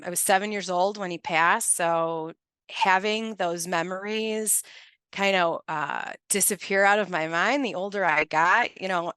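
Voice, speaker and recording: Clear-voiced woman, measured guest. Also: medium pitch, fairly bright tone, dry recording. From Chicago, USA. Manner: thoughtful, measured storytelling. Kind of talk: conversational interview answers.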